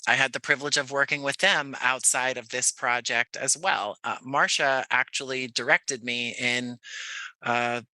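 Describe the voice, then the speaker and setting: A man's voice: mid-range voice, fairly even intonation, moderate pace, bright, forceful tone, no echo, rough recording. An American man speaking as a podcast interview guest, choosing his words carefully and earnestly. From Jersey City, New Jersey, United States. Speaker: interview guest, playwright and actor.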